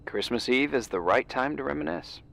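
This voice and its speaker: A man's voice: medium pitch, moderate pace, creaky, a bit bright. An actor playing an awkward, earnest young man in a video game, speaking hesitantly and helpfully as a voice on a phone. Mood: gentle concern. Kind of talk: naturalistic video game voice acting.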